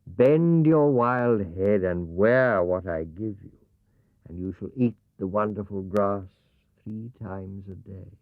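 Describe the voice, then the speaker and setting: A man's voice: fairly low pitch, dry recording. Storybook cave woman, played by a man: confident animal tamer, incantatory delivery; tinny old cassette. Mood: coaxing, commanding. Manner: deliberate, incantatory. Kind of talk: children's storybook reading. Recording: thin, narrow-band vintage cassette sound.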